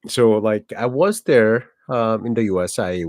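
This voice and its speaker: A man's voice: fairly low pitch, measured pace, a little mellow, no room sound, studio quality. A man in his forties from South Korea, a native Korean speaker with a Korean accent, talking casually in a rambling way with many fillers and self-corrections. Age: 40s. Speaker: channel host. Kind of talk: casual personal vlog monologue.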